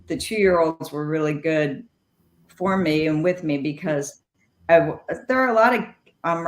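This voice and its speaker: A middle-aged woman, a former jockey, telling lively stories as an interview guest and acting out the dialogue. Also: sits low, echoey room. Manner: animated anecdotes with quoted dialogue. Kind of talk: storytelling interview.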